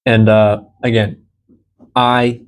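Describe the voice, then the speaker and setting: Male language teacher, 30s, American, mid-pitched, encouraging and explanatory, informal small-group lesson. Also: level tone, slow pace, clean tone. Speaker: Spanish teacher. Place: small indoor room beside a large monitor.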